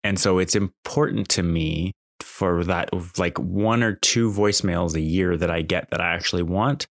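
A man's voice: low-pitched, normal speed, gravelly, fairly bright tone, no room sound, studio quality. A man talking casually off the cuff as a podcast host, in a conversational, exasperated, complaining way. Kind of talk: casual unscripted monologue.

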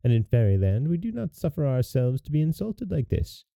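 A man's voice: mid-range voice, sing-song, fairly quick, creaky, mellow, clean studio sound, voice pushed up. A man voicing an ancient, ugly old woman fairy in a storybook, cackling and threatening as she scolds. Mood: menacing. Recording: roomy, narrow phone-like sound.

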